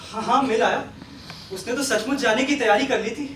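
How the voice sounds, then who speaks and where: Earnest, coaxing family man, played by a male stage actor; bright projected voice, picked up from far off. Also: extremely high pitch, brisk. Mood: calm, reflective. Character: earnest, persuasive family man courting a troubled woman. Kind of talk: Hindi stage play acting, projected. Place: theatre stage, recorded from a distance. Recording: echoey stage, distant mic, faint noise.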